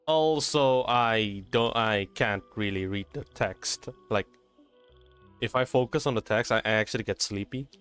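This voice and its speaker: A man's voice: lowish voice, a little slow, fairly bright tone, clear, resonant tone, soft background music. A man chatting casually and informally while streaming a video game. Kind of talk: casual livestream chat during gameplay.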